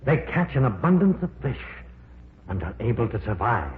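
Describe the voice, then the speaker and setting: A man playing a calm, theorizing professor in an old radio drama, speaking in a measured, explanatory way. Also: mid-range voice, slight background noise, close to an everyday voice. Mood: explaining. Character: older male professor, calm, theorizing, steady. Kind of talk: 1950s radio drama acting. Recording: tinny lo-fi old radio broadcast.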